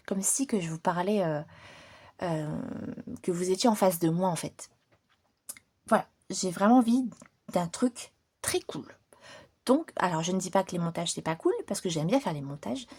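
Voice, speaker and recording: A woman chatting informally and unscripted to her viewers as a hobby channel host, in a relaxed, rambling manner. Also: medium pitch. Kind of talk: casual unscripted chat to viewers.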